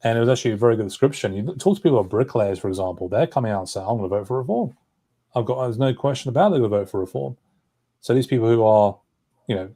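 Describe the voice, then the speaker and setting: Fast-talking man, rambling commentary. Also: fairly low pitch, dark tone. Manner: talkative, runs on with asides and self-corrections. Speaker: guest commentator. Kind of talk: political discussion.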